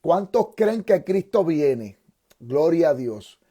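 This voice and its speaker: A man's voice: fairly high pitch, very animated, slow pace, mellow, breathy edge. A man who preaches fervently with repeated praise phrases, then hosts an interview as a pastor.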